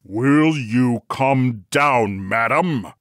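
A man's voice: low voice, animated, very slow, vocal fry, no echo, studio quality, full character voice. A man playing a brutal, murderous husband in a fairy tale, bawling menacing commands in a rage. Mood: enraged bellowing.

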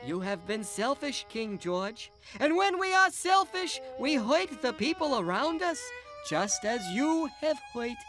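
A man's voice: very high-pitched, sing-song, a little slow, sharp tone, exaggerated voice. A man voicing a stern, wise old prophet in a cartoon parable, solemn and emphatic. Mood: reproving. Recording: music under the voice. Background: music.

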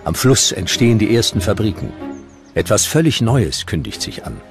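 A male documentary narrator with a very low voice and animated intonation. He reads scripted history in measured, dramatic short sentences while music plays behind him.

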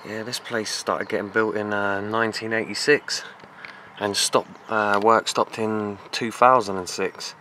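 A young British man vlogging as he explores abandoned grounds outdoors, excitable and slangy, often hushed, recorded on a handheld camera. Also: fairly low pitch, measured pace. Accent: British English. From England. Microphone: handheld action camera. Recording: noisy outdoor camera audio.

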